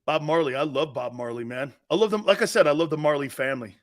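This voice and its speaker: A man's voice: fairly high pitch, fast talker. A middle-aged man hosting a live sports talk show, joking and rambling, laughing often as he talks. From Italian-American family.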